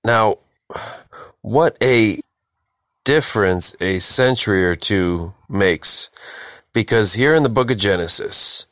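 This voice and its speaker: A man's voice: on the low side, dry recording. A man leading a verse-by-verse Bible study, reading scripture and explaining it emphatically, repeating phrases for stress. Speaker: Bible teacher, host.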